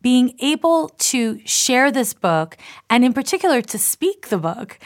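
A middle-aged woman from Canada with an accent between Canadian and American, an astrologer talking through a reflective personal monologue in a chatty, deliberately enunciated way. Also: a little high. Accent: mix of Canadian and American. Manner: chatty, self-described talker. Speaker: astrologer and author.

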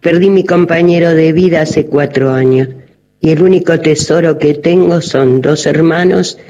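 A woman's voice: deep voice, moderate pace, mellow. An older woman with grandchildren, speaking in short, sober, heartfelt phrases. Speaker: older caller. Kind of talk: recorded personal testimony. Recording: muffled phone recording.

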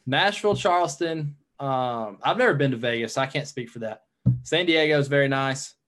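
A man's voice: medium pitch, fairly quick, a bit bright, faint music behind. A young man with a Southern US accent, chatting easily as a guest on a podcast. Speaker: podcast guest, pro pitcher. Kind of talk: casual podcast chat.

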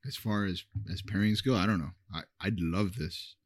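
A man co-hosting a podcast who reads a smooth scripted ad and chats about drinks. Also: lowish voice, normal speed, dry recording, studio quality. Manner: smooth scripted ad read, then relaxed banter. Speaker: podcast co-host. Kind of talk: read ad, casual chat.

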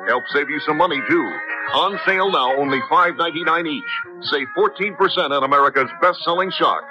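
A man's voice: on the high side, fairly quick. A man announcing on 1970s radio in an emphatic, polished scripted delivery. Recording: dull lo-fi 1970s radio broadcast. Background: music.

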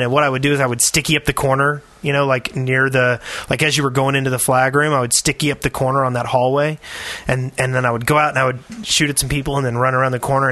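Bright-voiced man telling gaming stories. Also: medium pitch, no echo. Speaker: podcast co-host. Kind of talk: casual gaming podcast chat.

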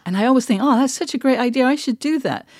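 A woman's voice: high-pitched, fast talker, dry recording, clean studio sound. A woman co-hosting a podcast, speaking casually and reflectively in a candid, self-deprecating way. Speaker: podcast co-host and writer.

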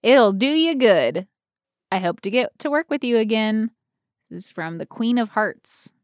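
A woman's voice: on the high side, sing-song, a little slow, no echo. American woman chatting casually, close dry sound. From United States. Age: 30s. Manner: self-mocking, playfully boastful, sweary. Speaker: podcast co-host. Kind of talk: casual comedic chat, storytelling.

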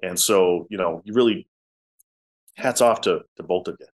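A man with a low voice, a musician telling stories in a casual interview. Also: normal speed. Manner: talkative storyteller, drops to soft tones. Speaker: musician guest.

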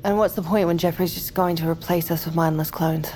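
A woman's voice: lowish voice, steady tone, brisk, dark tone, faint music behind, close to an everyday voice. An actress playing a weary, blunt and dismissive woman in a naturalistic audio drama. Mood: tired, scornful.

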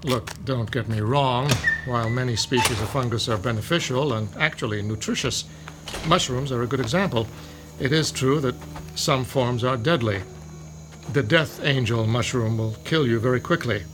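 A man's voice: medium pitch, normal speed, music in the background, close to an everyday voice, poor audio. An actor playing a male professor who lectures patiently about fungi in an audio drama. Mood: lecturing. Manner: lecturing, explanatory. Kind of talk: modern audio drama acting.

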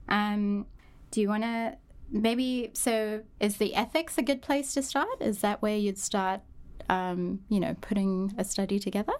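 Brief: female podcast host, smooth resonant voice, friendly and measured. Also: medium pitch, darkish tone. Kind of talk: podcast interview.

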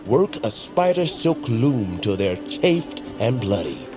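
An actor playing a sly master tailor and secret mentor, silky, deliberate and theatrical in audio drama style. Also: male voice, mid-range voice, very animated, music in the background. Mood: unctuous, teasing.

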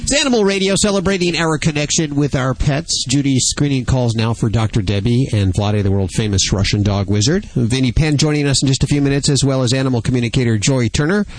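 A man's voice: medium pitch, fast talker, hardly any pauses, low-quality recording. A man hosting a radio talk show, reading promos and trading jokey banter with his co-host.

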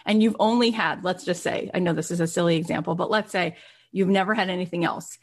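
A woman's voice: on the low side, quick pace. A woman speaking as a motivational coach to an audience, emphasizing and repeating key phrases and asking rhetorical questions. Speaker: podcast host. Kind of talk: motivational workshop talk to an audience.

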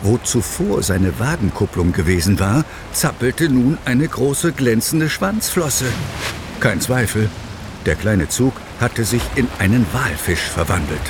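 A man narrating a scripted children's audio play as an expressive storyteller. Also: mid-range voice, unhurried, background noise. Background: music, vehicle sounds.

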